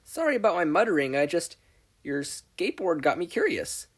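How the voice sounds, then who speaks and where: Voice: mid-range voice, big pitch swings, moderate pace, slightly rough, pitched above the performer's own voice. A podfic reader voicing a shy, nervous teenage boy who speaks politely, hesitantly and earnestly. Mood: flustered, curious.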